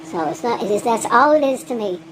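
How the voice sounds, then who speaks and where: A woman's voice: a little high, expressive, fairly quick, a little hiss or hum, rough recording. A woman with a thick Texan accent, vlogging in a rambling, frank monologue, often laughing and restarting her sentences. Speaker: gig delivery vlogger.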